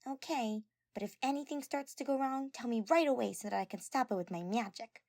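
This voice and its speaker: A woman performs a small, sleepy teenage girl who takes her magic very seriously. The voice is high and low-key, hesitant and shy. It sounds close and dry, like an audio story. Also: fairly quick, darkish tone, no room sound, lightly acted. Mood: quiet, hesitant.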